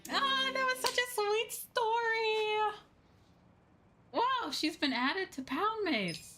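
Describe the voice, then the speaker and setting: A woman giving casual, expressive commentary as she streams a video game. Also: very high voice, takes it slowly. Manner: expressive, chatty reactions, reads game dialogue aloud. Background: video game music.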